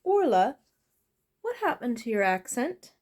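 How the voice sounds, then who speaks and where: A woman's voice: on the high side, big pitch swings, measured pace, darkish tone, voice pushed up. Woman reading as a flustered, kindly male master. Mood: puzzled. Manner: hesitant, awkward, reassuring.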